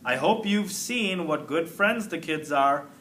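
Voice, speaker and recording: A man voicing an eager, friendly classroom hamster in a storybook, chatty and excitable. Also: on the high side, measured pace, slight background noise. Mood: chatty, hopeful. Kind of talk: storybook character reading.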